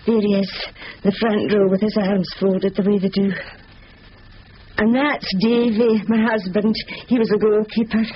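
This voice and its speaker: A woman's voice: medium pitch, little pitch movement, normal speed, husky, close to an everyday voice. An actress playing a suspicious middle-aged landlady in a radio drama, exclaiming and drawing out her words. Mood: suspicious, bossy. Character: nosy, suspicious landlady, wife of a former goalkeeper. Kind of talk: 1970s radio drama acting. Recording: dull, hissy old radio broadcast.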